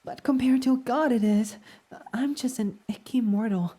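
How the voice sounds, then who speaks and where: A man voicing a devoted, submissive fox boy servant in an intimate ASMR roleplay, reverent, hesitant and breathy. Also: very high-pitched, normal speed. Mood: flustered. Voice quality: soft, breathy. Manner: reverent, self-deprecating, hesitant, breathy half-whispers.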